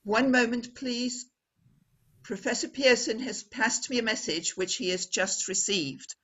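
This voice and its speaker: A woman playing a poised, earnest radio news reporter in an old-time radio drama, speaking formally and deliberately with dramatic emphasis. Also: a little high, a bit bright, muffled recording. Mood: formal, urgent.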